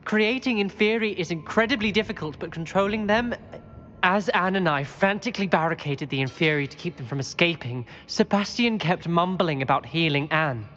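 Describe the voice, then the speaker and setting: A woman's voice: on the low side, moderate pace, fairly bright tone, in a large hall, lo-fi sound, soft background music, close to an everyday voice. A woman playing an anxious young wizard-school student in a video game, speaking urgently and earnestly. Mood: worried, urgent. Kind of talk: dramatic video game acting.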